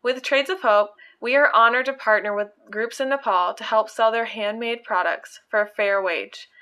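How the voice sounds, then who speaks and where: A woman hosting a podcast, reading stories aloud in an earnest, measured way. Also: fairly high pitch, fairly even intonation, sharp tone, clear, resonant tone. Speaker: podcast host.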